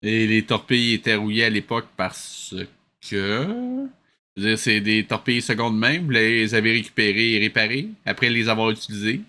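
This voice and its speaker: A man's voice: lowish voice, fairly even intonation, moderate pace, sharp tone, slight background noise. A man with a Quebec French accent giving casual, mocking commentary, sarcastic and incredulous. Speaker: host commenting on an old cartoon.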